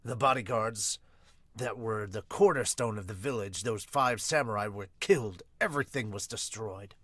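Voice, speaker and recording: A man's voice: fairly low pitch, normal speed, a bit bright, dry recording. A man voicing a stern, indignant old tengu swordsmith with formal, archaic speech, reading a manga aloud. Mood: grave recounting. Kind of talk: manga read-aloud dub.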